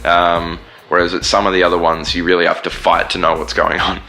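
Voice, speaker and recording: A man's voice: sits low, flat delivery, fairly quick, bright, forceful tone, soft background music, poor audio. A man, a band singer and guitarist, rambling casually in an interview. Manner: rambling, many fillers and restarts.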